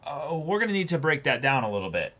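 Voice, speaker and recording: A man's voice: fairly high pitch, sing-song, quick pace, pushed and bright. A man co-hosting a casual discussion show, musing aloud in broken, trailing phrases. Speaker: co-host of an anime reaction discussion show.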